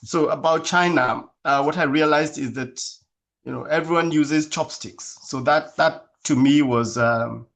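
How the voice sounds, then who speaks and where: A man from Kenya who is a guest in an interview and answers casually in a measured, thoughtful way, with many fillers. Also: on the high side, gravelly, a bit bright.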